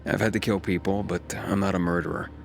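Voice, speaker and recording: A guarded young wanderer from a video game. His voice sits low and he speaks plainly and with resolve. Music plays clearly behind him. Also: fairly even intonation, brisk. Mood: calm, resolute. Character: guarded, determined young male pilgrim and survivor. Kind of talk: naturalistic game dialogue.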